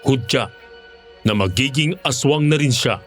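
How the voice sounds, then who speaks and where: A man playing a former monster hunter, narrating his grim experience in Tagalog in a serious, measured way. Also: mid-range voice, expressive, a bit bright, soft background music. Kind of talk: dramatic horror story narration in Tagalog.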